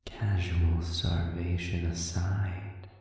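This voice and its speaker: A performer voicing a sadistic male demon prisoner in an audio roleplay, speaking formally and sardonically with a taunting, manipulative silkiness that often sinks toward a breathy whisper. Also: low-pitched, little pitch movement, very slow, a little mellow. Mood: silky, sardonic. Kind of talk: slow audio roleplay monologue addressed to listener. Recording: cavernous echoing reverb, distant and muffled.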